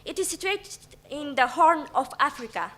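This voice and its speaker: A woman's voice: high-pitched, animated, normal speed, very noisy. A teenage girl from Eritrea reading a prepared piece aloud carefully, stopping to correct herself. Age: teens.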